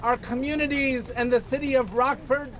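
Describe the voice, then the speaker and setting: Casting: male pastor with a very high voice, solemn vigil address, slight noise behind. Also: fairly even intonation, moderate pace, fairly bright tone.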